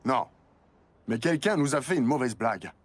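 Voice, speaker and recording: A man's voice: medium pitch, lively intonation, normal speed, noisy background, lightly acted. A man in a French cartoon dub playing a firm, upright, fatherly emergency chief, with music behind him. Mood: businesslike.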